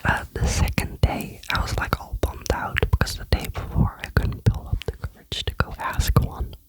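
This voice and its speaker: A vlogger telling a rambling, chatty personal story in a soft ASMR whisper. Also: normal speed. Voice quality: whispering throughout.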